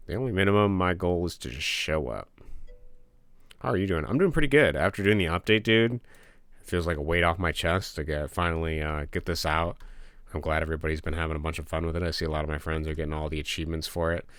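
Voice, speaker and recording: A man's voice: deep voice, quick pace, no echo. A man streaming from his home office, chatting casually with viewers and sniffling a little from a cold. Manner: relaxed, rambling, reads chat aloud and answers. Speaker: game developer streamer. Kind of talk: casual livestream chat.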